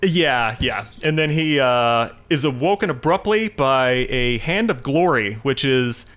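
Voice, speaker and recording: A man co-hosting a podcast, telling a story casually in a halting, self-correcting way. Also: mid-range voice, lively intonation, fairly bright tone. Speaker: podcast co-host. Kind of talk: casual film recap discussion.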